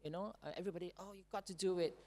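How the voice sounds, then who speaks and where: A woman's voice: lowish voice, fairly even intonation, racing, a little mellow. A woman in her forties from Singapore with a Singaporean English accent, speaking on a conference panel with a handheld mic and tagging "right?" onto her points. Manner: opinionated, frequent "right?" tags. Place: large conference stage. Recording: long hall reverb.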